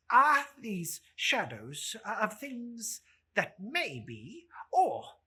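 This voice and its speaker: A woman's voice: mid-range voice, pushed and bright, slightly rough, voice pushed up, heavily acted. A woman playing a frightened old male miser in a dramatic storybook reading, stammering and beseeching. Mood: pleading.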